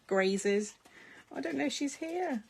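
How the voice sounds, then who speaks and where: A middle-aged British woman from the UK, chatting casually with viewers on a crafting livestream, reading their comments aloud and laughing often. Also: high-pitched. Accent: British English. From United Kingdom. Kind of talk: casual live chat while crafting.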